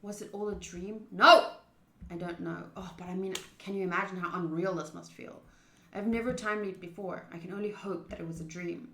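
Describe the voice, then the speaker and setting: A woman's voice: fairly low pitch, fairly even intonation, a little fast, sharp tone. A young woman streamer reading a visual novel aloud and chatting informally and expressively with viewers. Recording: roomy echo.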